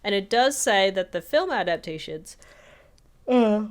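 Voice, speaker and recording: A woman's voice: medium pitch, animated, a little slow. A woman co-hosting a podcast in a casual conversational style, with wry, dry asides. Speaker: podcast co-host. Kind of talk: casual chat, paraphrasing notes.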